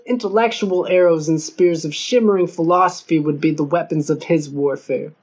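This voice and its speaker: A man's voice: high-pitched, a little mellow. A man reading scripted novel narration as an audiobook narrator. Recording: dull lo-fi recording.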